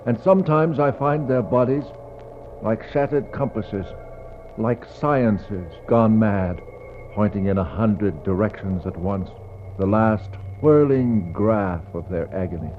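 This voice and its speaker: An actor playing a brooding polar explorer in a 1960s poetic radio drama, speaking in a solemn, declamatory way with dramatic pauses. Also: male voice, on the low side. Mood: grim, haunted. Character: brooding male polar explorer searching for a lost expedition. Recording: dull, noisy 1960s radio recording.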